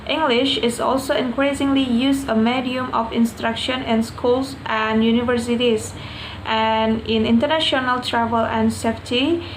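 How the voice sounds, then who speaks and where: A young woman with an Indonesian accent, a student carefully reading out her prepared answers for a class assignment. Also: fairly high pitch. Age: young adult. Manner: careful, measured reading with short pauses. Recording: echoey room, faint background noise.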